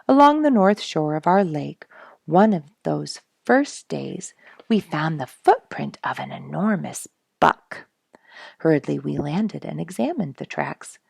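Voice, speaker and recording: A woman reading a nature storybook aloud in an expressive, measured, dramatic storytelling manner. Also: deep voice, vocal fry, breathy edge, no echo, studio quality. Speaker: audiobook reader and show host.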